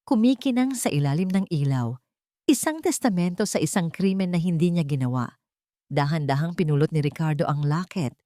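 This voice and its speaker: A woman's voice: low voice, expressive, a little fast, dark tone, no echo, clean studio sound. A woman with a Filipino accent narrating a sentimental family story in a dramatic, scripted storytelling style.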